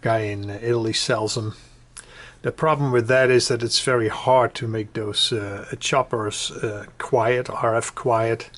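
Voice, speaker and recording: A man giving a casual, unscripted hobby tutorial, conversational and hesitant, often pausing mid-sentence. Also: fairly low pitch, fairly bright tone. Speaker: hobbyist demonstrating a build. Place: indoors at home.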